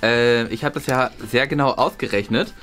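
A man's voice: medium pitch, fairly quick, a bit bright. A man in his twenties chatting casually as he reviews shopping finds in a vlog. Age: 20s. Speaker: vlogger. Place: small indoor room. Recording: music behind the voice. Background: background music.

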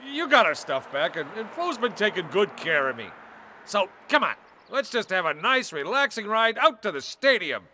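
A man playing a friendly, easygoing, cheerful truck in an animated game, with an American accent. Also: high voice, moderate pace, a little hiss or hum, full character voice. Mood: cheerful reassurance. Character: friendly, easygoing male big-rig truck, simple and cheerful. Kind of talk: animated game cutscene acting.

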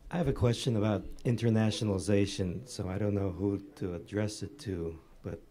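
A man in the audience asking a halting, technical question at a conference, pausing often. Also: fairly low pitch, fairly even intonation, warm tone. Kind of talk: question from the floor. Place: conference room.

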